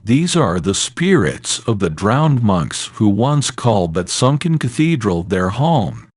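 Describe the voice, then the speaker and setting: A man delivers dramatic gothic horror narration at a very unhurried pace. His solemn, ominous voice rises and falls expressively, and the recording is close and dry. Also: medium pitch, dry recording. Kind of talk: dramatic scripted horror storytelling.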